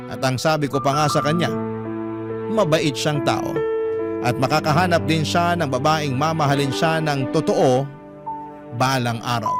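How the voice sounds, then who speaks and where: Male radio storyteller over prominent music. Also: fairly high pitch. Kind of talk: dramatic first-person story narration of a listener's letter. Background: background music.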